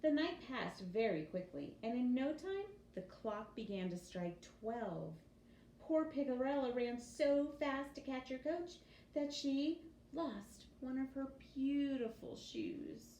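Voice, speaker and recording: A woman reading a children's story aloud in an expressive teacher's storytelling style. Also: sits high, slow. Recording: roomy echo with faint background noise.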